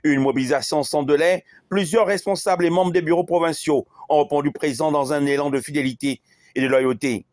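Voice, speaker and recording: A man narrating a news report in a formal, measured voice-over. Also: fairly high pitch, darkish tone, dry recording.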